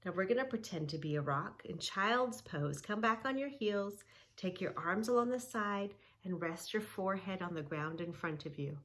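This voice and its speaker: A woman reading a children's book aloud and gently guiding kids through yoga poses, soothing and instructive. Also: medium pitch, normal speed. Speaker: kids yoga teacher and reader.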